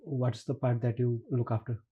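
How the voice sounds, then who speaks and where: A man's voice: medium pitch, level tone, moderate pace, slightly rough, muffled recording. A young man from Nepal hosting a casual interview podcast, asking short questions and murmuring along. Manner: brief prompts and backchannel murmurs. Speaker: podcast host.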